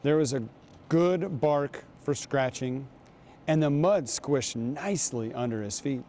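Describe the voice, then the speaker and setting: A man reading aloud and talking to children as a guest reader, with an unhurried, storytelling manner. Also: mid-range voice, warm tone, slight background noise. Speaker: guest reader, basketball coach.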